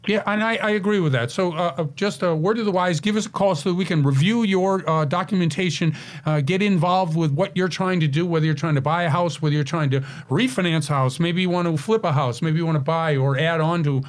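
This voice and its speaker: Middle-aged male radio host, fairly high voice, chatty delivery with frequent "uh"s and restarts. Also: fairly quick, few pauses. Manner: chatty, hesitant with many fillers. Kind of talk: casual radio interview.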